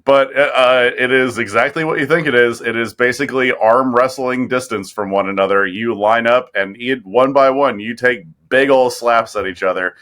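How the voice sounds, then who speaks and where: A man co-hosting a casual comedy podcast, talking in a halting, wry way. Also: mid-range voice, a bit bright. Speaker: podcast co-host.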